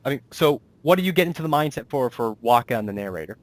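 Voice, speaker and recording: A man's voice: medium pitch, lively intonation, quick pace, dry recording. Casual man hosting a chat, dry sound. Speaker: interviewer, host. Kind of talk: casual chatty interview.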